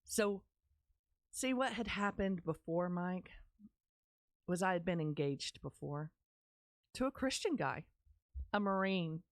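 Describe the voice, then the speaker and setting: A middle-aged woman with a Texas accent tells her personal story on a podcast, earnest and candid as she speaks straight to her listeners. Her voice sits a little low. She is recorded close on a studio microphone in a small studio, with a dry, dead sound. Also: animated, slow pace, long pauses, no echo. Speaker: podcast host. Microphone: studio mic on stand, close, with headphones.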